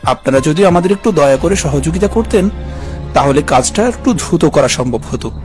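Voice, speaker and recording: A man's voice: on the high side, expressive, fairly quick, lightly acted. A man playing a polite, inquisitive city man who narrates a horror tale in a dramatic, earnest way. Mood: polite, persuasive. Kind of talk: scripted horror narration and dialogue. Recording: loud music under the voice.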